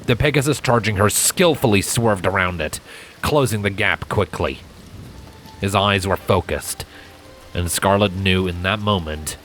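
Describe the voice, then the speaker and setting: Deep-voiced man narrating a dramatic story. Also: a bit bright. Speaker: fan fiction narrator.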